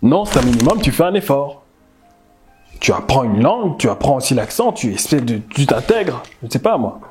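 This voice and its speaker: A man from the DR Congo, a language teacher, giving animated, emphatic commentary and repeating words as he thinks aloud. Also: mid-range voice, normal speed, slightly rough, faint music behind. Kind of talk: casual commentary video.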